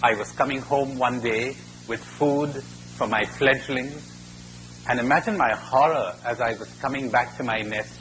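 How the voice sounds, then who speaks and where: A man's voice: medium pitch, a bit bright, slight background noise, close to an everyday voice, low-quality recording. A man voicing a grieving, helpless parent bird in a spoken fable, lamenting with emphasis.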